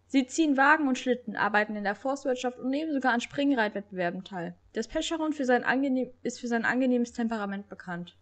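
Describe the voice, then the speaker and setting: A young woman with a cold, hosting a game video in casual, excited commentary that stumbles now and then while reading aloud. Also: a little high. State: has a cold. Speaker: gaming channel host.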